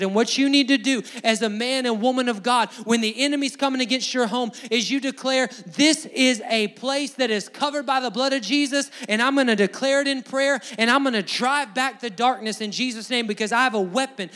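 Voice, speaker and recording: A man's voice: very high voice, a bit bright. A male pastor with a Southern Alabama accent preaching a fervent sermon to a congregation, emphatic, repetitive and exhorting. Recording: long hall reverb.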